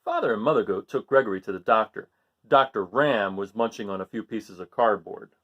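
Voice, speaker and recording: A man reading a picture book aloud to children in an animated way, asking his listeners questions. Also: on the low side, a little fast, highs cut off. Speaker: read-aloud storyteller.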